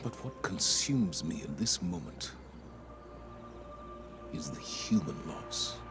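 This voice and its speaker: Game commander: very low, weary, grave, measured oratory, solemn weight, score behind him. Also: male voice, animated, music in the background. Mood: grieving, bitter. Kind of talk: dramatic game cutscene monologue.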